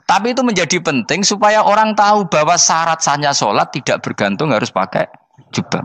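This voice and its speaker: A man's voice: mid-range voice, a bit bright, dry recording. A man with a Javanese accent giving an informal religious lecture in a conversational, teasing way, often repeating himself to make a point. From Indonesia. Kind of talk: informal sermon mixing explanation with storytelling.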